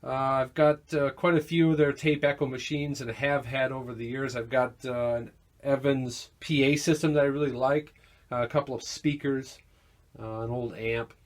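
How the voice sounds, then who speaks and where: A man giving a casual, informal product demo of audio gear, halting a little as he thinks aloud. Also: mid-range voice, fairly even intonation. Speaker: gear seller demonstrating vintage audio equipment. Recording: noticeable background noise, amateur demo recording.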